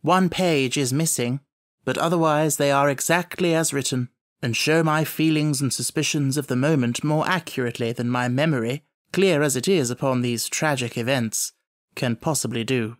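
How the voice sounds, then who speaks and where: A man voicing an earnest, reflective Victorian gentleman doctor, narrating his letters in a formal, measured audiobook style. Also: mid-range voice, no echo, clean studio sound, close to an everyday voice.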